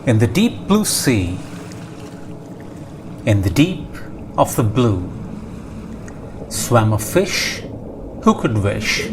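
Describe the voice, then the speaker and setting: Very slow-paced man reading a storybook. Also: on the low side, very animated. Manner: measured, pausing between short phrases. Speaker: storybook reader. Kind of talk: slow scripted children's read-aloud narration. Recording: clear background noise, ambience. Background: ocean ambience sound effects.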